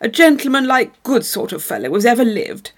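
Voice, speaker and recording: A woman voicing a boastful young gentleman who brags and blusters. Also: fairly high pitch, big pitch swings, a little fast. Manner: bragging, blustering. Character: boastful young gentleman, loud and full of himself.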